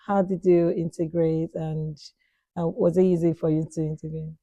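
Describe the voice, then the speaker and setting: A woman from Nigeria hosting a podcast panel, speaking casually and hesitantly, repeating herself and filling pauses often. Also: low voice, flat delivery, warm tone, lo-fi sound. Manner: repetitive, hesitant with many fillers. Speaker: podcast host.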